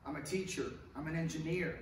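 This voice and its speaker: A man's voice: fairly high pitch, a little fast. A middle-aged man from California preaching a conversational sermon at a lectern, gesturing widely and asking the congregation questions. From Hollywood, California. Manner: animated, wide hand gestures, asks the congregation questions. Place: church sanctuary with stone wall, at a lectern. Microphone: stand mic at the lectern, camera far off. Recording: very echoey sanctuary from far off.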